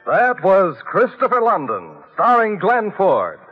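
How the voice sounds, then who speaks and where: Music and narrow, muffled old radio sound surround a male announcer. He is high-pitched and lively in intonation, formal and declamatory in a golden-age radio style. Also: slow pace. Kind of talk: 1940s-50s radio announcing, scripted credits.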